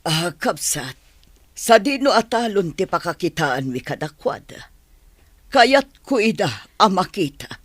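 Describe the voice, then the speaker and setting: A woman's voice: on the low side, very animated, a little slow, no room sound. A woman playing a worried, disapproving middle-aged mother in a radio comedy drama. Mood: polite.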